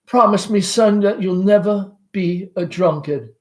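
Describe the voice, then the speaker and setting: An anxious, fussing mother of middle age , as a reader acts her out from a play. The voice is pushed high and flutters with stammers. The manner is dramatic and pleading, always worrying over a grown child. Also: a little slow, lightly acted. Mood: gushing, earnest.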